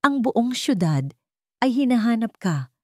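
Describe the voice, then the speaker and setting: A woman with a Filipino accent voicing a bold woman journalist in a story, speaking with hushed urgency. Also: on the high side, very animated, measured pace, no room sound, studio quality, pitched above the performer's own voice. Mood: alarmed. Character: bold woman investigative journalist, alarmed for her friend.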